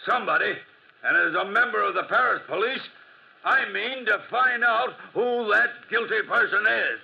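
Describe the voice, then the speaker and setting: An actor playing an agitated, earnest gendarme in a 1940s radio drama. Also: male voice, high voice, normal speed, vocal fry. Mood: resolute. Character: agitated, earnest Paris gendarme, an adult man. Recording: muffled lo-fi 1940s radio recording.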